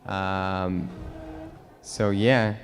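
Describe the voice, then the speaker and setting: A young man from Russia, sincerely recounting his life as an interview guest, halting with fillers. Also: low-pitched, steady tone. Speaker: guest, new monk. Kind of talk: earnest personal account. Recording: long hall reverb.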